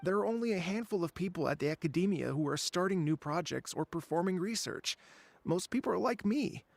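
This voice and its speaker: A young male academic in a video game, chatty and unambitious. His voice is fairly high with plenty of fry, recorded very dry. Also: no room sound. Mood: casual, resigned.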